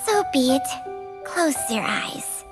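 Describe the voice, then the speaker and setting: Game creature: a sardonic, age-old female serpent spirit, high-pitched, slow and deliberate, music clearly behind. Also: very animated, full character voice. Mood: weary, resigned. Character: ancient female snake spirit, wry, world-weary, sardonic. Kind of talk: scripted game dialogue.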